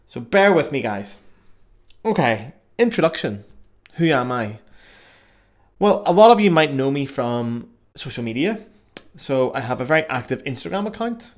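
Young male podcast host, Northern Irish Belfast accent, mid-range voice, loose and joking delivery. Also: medium pitch. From Northern Ireland. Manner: chatty and self-mocking, with frequent fillers. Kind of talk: casual solo podcast monologue.

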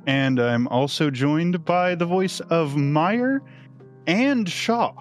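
A man's voice: a little high, animated, slow, faint music behind. A man hosting a casual behind-the-scenes podcast chat about his creative work. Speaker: podcast host and audio drama creator.

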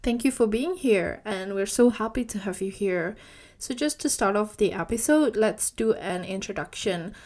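A woman's voice: medium pitch, expressive, moderate pace, a little mellow. A woman hosting a podcast interview, giving prepared introductions and questions. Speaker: podcast host.

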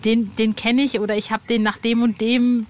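A woman's voice: fairly high pitch, flat delivery, normal speed, darkish tone. German woman interviewer, chatty, audible background noise. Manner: colloquial, fan-like chattiness. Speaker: podcast interviewer. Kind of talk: casual interview chat. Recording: clearly noisy background.

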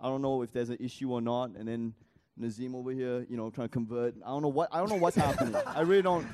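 A man's voice: mid-range voice, fast talker, darkish tone, no room sound. A man on a live panel who stammers and restarts his sentences, laughing nervously as he talks. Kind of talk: live podcast panel chat. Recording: noisy recording.

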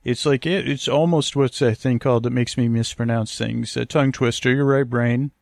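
A man with a gravelly voice, rambling as a podcast host in a hesitant, stammering, meandering monologue meant for falling asleep to. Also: medium pitch, steady tone, normal speed, a little mellow, no room sound, clean studio sound.

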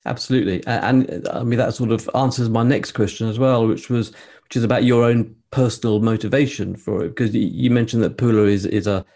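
A man's voice: mid-range voice, steady tone, brisk, mellow. A man interviewing a guest, asking questions with stammered restarts and polite agreement.

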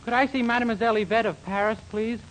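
A man's voice: extremely high pitch, steady tone, quick pace, fairly bright tone, low-quality recording. A slightly hissy old radio recording carries a young American man, boyish and bashful. He speaks with earnest, guileless innocence. The delivery is classic 1940s sitcom acting. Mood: bashful, curious.

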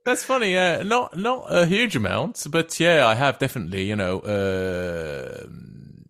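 A middle-aged actor, a man raised speaking Turkish, chatting as a guest, with halting speech full of restarts and fillers. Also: mid-range voice, very animated, gravelly, fairly bright tone. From Turkey. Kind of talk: casual conversation.

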